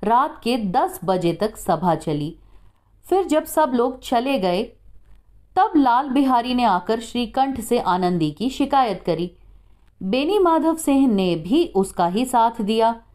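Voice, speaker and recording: A woman reads a short story as a practiced narrator. Her voice is slightly high and moves expressively up and down. She takes her time, with a mellow, soft-edged tone. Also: lively intonation, slow.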